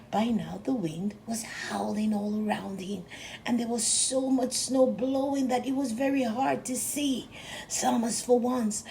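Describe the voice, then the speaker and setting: A woman reads a storybook for children with gentle expression. Her voice is fairly high yet mellow, and she goes deliberately slowly through each phrase. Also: creaky, a little hiss or hum, rough recording. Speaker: storybook reader. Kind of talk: children's read-aloud narration.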